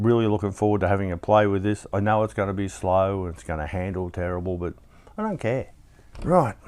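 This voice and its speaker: Guy with a bit of a croaky voice, kinda low, just chatting away thinking out loud while he builds stuff. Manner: chatty and easygoing, thinking aloud with asides. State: slightly croaky after being ill. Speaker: hobby shop host building a model kit. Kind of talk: casual build tutorial.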